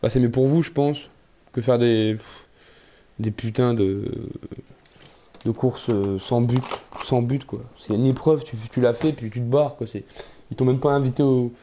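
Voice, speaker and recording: A man streaming a racing game, chatting loosely to his viewers in French with a slight Belgian lilt. He rambles, swears freely and restarts his sentences, in an average-pitched voice. Clear engine noise runs under the talk. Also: unhurried, dark tone. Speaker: gaming streamer. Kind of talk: casual live-stream commentary.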